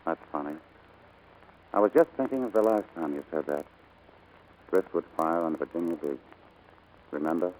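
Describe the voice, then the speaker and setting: A man's voice: sits low, normal speed, long pauses, darkish tone, slight background noise, lightly acted, poor audio. An actor playing a devoted, earnest male pilot in love, in 1940s radio drama style. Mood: quiet, wistful. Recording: vintage 1940s radio recording, faint music.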